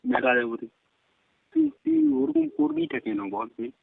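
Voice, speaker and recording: A man's voice: extremely high pitch, wildly swooping pitch, normal speed, vocal fry, dry recording. A man with a Bengali accent questioning someone confrontationally over the phone in short, repeated questions. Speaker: phone caller posing as a girl's uncle. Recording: tinny phone-line sound, dry.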